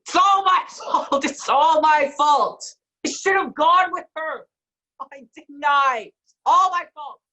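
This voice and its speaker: A woman's voice: medium pitch, expressive, measured pace, pauses often, fairly bright tone, pitched below the performer's own voice, rough recording. A woman voicing a forgetful young man who is apologetic, hesitant and tearful, in an amateur audio drama. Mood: panicked guilt. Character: guilt-ridden young man, forgetful friend.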